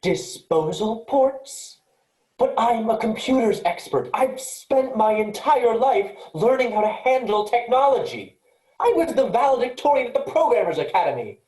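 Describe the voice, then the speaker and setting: A woman's voice: on the high side, animated, normal speed, mellow, echoey room, close to an everyday voice, rough recording. A woman playing an earnest, insistent, rule-abiding programmer aboard a spaceship in a virtual staged play reading. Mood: upset, protesting.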